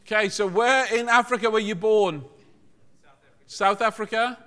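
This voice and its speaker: His voice sits notably high for a man, and he speaks. He delivers a sermon as if in conversation, informal and joking. He likes to draw his congregation in with questions. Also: fairly even intonation, a little fast, sharp tone, clean tone. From Liverpool, England. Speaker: preacher.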